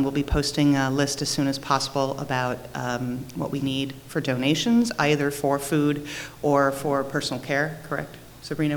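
A food ministry co-chair making a halting church announcement, full of fillers and questions to the room. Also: mid-range voice, a little hiss or hum. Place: church sanctuary. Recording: long reverb of a church hall.

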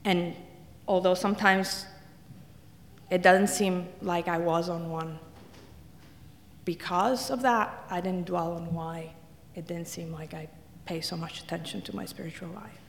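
A woman's voice: on the low side, fairly even intonation, unhurried, creaky, long pauses, slight background noise. A woman from Italy, telling wry, self-deprecating stories as a speaker in a church. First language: Italian. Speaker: guest speaker. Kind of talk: humorous personal testimony talk. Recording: echoey hall reverb.

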